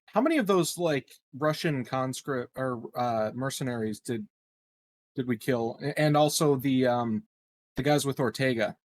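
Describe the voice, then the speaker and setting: A man with a medium-pitched voice speaks as a player in a tabletop game. He chats casually, in the loose style of group planning. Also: measured pace.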